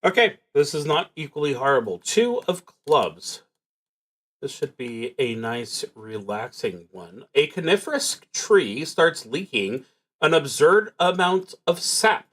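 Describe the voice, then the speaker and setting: A man livestreaming a solo roleplaying game, reading prompts and thinking aloud in a halting, dryly wry way. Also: a little high, sing-song, a bit bright.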